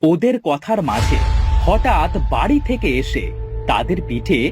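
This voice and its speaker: A man's voice: a little high, very animated, measured pace, music in the background. A man narrating a children's folk-tale cartoon as a dramatic storyteller, reading a script and putting questions to the viewer.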